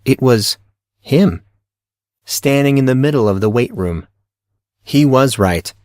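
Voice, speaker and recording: A man's voice: medium pitch, animated, slow, darkish tone, no echo, studio quality. A man reading scripted fiction as the narrator of an audio drama.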